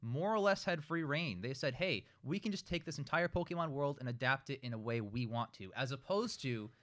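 A man's voice: medium pitch, lively intonation, a little fast, fairly bright tone. A man co-hosting a casual debate, talking in an argumentative way and swearing freely. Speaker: podcast co-host. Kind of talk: casual debate about movies and games.

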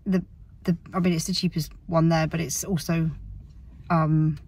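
A British woman talks casually in a fairly low, raspy voice. She wanders and corrects herself as she goes, hunting for the right word. The sound is a little distant, like a phone recording inside a parked car. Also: flat delivery, normal speed, a little mellow. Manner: rambling, word-searching, self-correcting. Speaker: family vlogger. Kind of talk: casual chat to camera.

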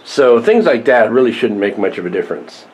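An older man chatting casually to camera as a vlogger, rambling and wry while working on a prop. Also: fairly quick. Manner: rambling, wry, lively pitch. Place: small cluttered room indoors, then patio workshop.

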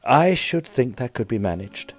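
An actor with a British accent playing a peevish, smitten Edwardian gentleman ghost hunter, narrating formally in an audio drama. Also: medium pitch, big pitch swings, normal speed, no room sound, soft background music. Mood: earnest, formal. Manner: formal, stiffly proper, fussy.

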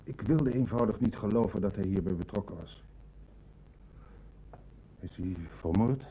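Radio drama official male actor: courteous but troubled, very low, mellow voice, dull old recording with slight noise. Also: measured pace, natural voice. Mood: shaken, troubled. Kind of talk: Dutch radio drama acting.

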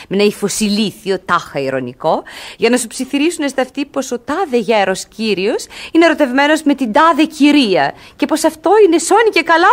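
A woman's voice: fairly high pitch, natural voice. An actress playing a spirited, scornful young lady in a radio comedy, exclaiming with disdain. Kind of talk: theatrical radio comedy acting.

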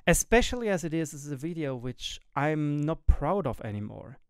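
A young Swiss man with a German-tinged accent speaks close to a boom mic in a small home gaming room, his slightly high, smooth voice rising and falling in a sing-song way. He talks candidly and thoughtfully, often pausing to hunt for the right English word. The sound is dry and close. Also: big pitch swings, no echo. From Switzerland. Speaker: gaming YouTuber and streamer. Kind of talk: reflective personal monologue to camera. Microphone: studio mic on boom arm close to mouth, wearing headset.

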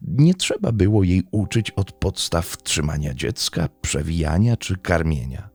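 A man reads a scripted true crime story in a measured, literary storytelling manner. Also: fairly low pitch, dark tone, dry recording. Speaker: true crime podcast narrator.

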